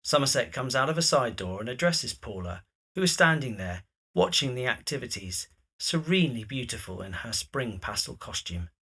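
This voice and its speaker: A man narrating a period audio drama from a script, describing the scene in a husky voice. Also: mid-range voice, very animated, moderate pace, hardly any pauses, sharp tone.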